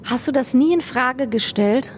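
A woman's voice: high voice, a little slow, slight background noise. A woman interviewing a guest in a friendly, familiar and chatty way, in a theatre. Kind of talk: casual interview questions. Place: theatre building, indoors.

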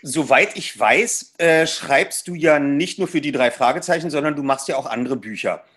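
A man hosts a casual podcast interview with friendly, easygoing questions. His voice is a bit higher than average. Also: moderate pace, fairly bright tone. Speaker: podcast host interviewing an author.

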